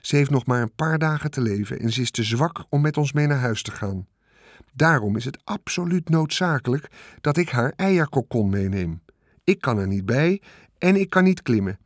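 A man's voice: medium pitch, lively intonation, normal speed, no room sound, clean studio sound, close to an everyday voice. A man voicing a young male pig who pleads urgently and desperately.

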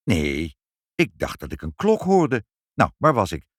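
A man voicing an excitable, accusing male busybody in a storybook, speaking in emphatic, agitated exclamations. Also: on the low side, measured pace, no room sound, clean studio sound, full character voice. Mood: puzzled. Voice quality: unpleasant, agitated voice. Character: excitable, triumphant, accusing nosy male neighbour.

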